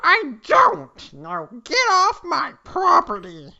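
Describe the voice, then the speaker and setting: A man's voice: extremely high pitch, huge pitch swings, slow, vocal fry, sharp tone, highs cut off, voice pushed up, exaggerated voice. A man voicing a foul-mouthed, hot-tempered young shrine maiden in a comic fanfic reading. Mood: angry, threatening. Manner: brash, swearing.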